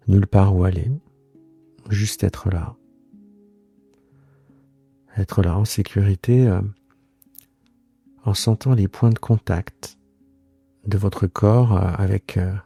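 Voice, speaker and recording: A man guiding a yoga relaxation meditation in a soothing, unhurried way, leaving long pauses between short phrases. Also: sits low, creaky, mellow, husky, no echo. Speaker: yoga nidra guide and host. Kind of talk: guided relaxation meditation, improvised.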